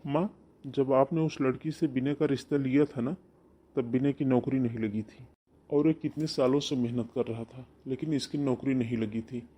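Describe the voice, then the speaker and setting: Man voices a firm cartoon elder brother, husky, no-nonsense. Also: mid-range voice, fairly even intonation, normal speed, warm tone, natural voice. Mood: reasoning. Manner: firm, lecturing.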